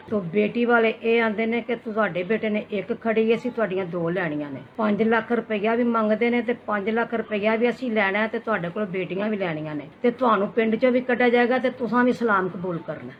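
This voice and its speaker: An elderly woman with a Pakistani Punjabi accent, speaking at a middling pitch. She gives her testimony on a dull amateur recording with faint noise behind her. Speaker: villager giving testimony. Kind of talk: spoken eyewitness account. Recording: dull, noisy amateur video clip.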